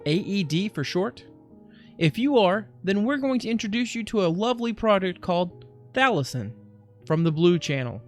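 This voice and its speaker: A man's voice: high-pitched, sing-song, moderate pace, faint music behind. A man hosting a reaction livestream with casual, jokey, rambling commentary that often interrupts itself. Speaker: livestream reaction show host.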